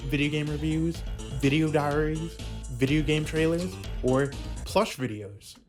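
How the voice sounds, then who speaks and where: A man's voice: fairly high pitch, unhurried. A young adult man narrating a scripted but casual video essay. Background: faint music.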